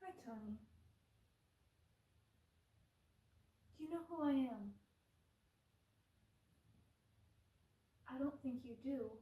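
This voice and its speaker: A woman's voice: high voice, quick pace, roomy sound, highs cut off, natural voice. A woman playing a cruel, haughty evil queen in an ASMR roleplay, imperious and scornful, sometimes slipping into whispers. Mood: commanding.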